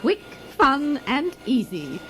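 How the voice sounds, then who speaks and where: A woman playing a breezy, sweetly careless handyman's wife in comic radio theater, blithely polite and deadpan. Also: high voice, slow pace, exaggerated voice. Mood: unruffled. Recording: clearly noisy, effects behind.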